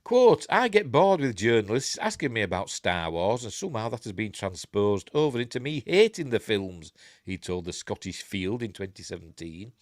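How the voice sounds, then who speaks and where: A man's voice: lowish voice, sing-song, moderate pace, no echo, studio quality. An older man with a Yorkshire accent and a stuffy nose, reading entertainment news on a live stream with blunt, wry asides. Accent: Yorkshire English. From Yorkshire, England. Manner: reads articles aloud with wry, blunt off-the-cuff asides. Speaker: host of a geek news stream.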